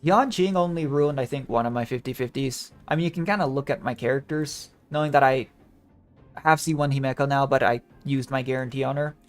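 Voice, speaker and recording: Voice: mid-range voice, brisk. A game streamer chatting casually and thinking aloud in a rambling way, with music behind. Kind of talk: casual gaming livestream chat.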